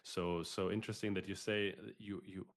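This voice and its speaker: Man, podcast host, low voice, halting, repeats words. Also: steady tone. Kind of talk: casual interview.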